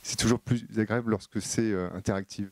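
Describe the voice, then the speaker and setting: French-accented male speaker, low-pitched, mellow, halting and filler-heavy, addressing a room. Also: steady tone. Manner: hesitant, many fillers and repeated words. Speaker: conference speaker. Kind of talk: live talk to an audience.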